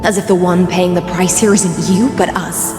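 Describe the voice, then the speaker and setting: An actress playing a stern, grieving warrior who guards a prisoner in a video game, grave, cold and restrained. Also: female voice, on the low side, fairly even intonation, moderate pace, lightly acted. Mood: cold, bitter. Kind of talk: dramatic video game voice acting. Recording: echoey long reverb, loud music behind.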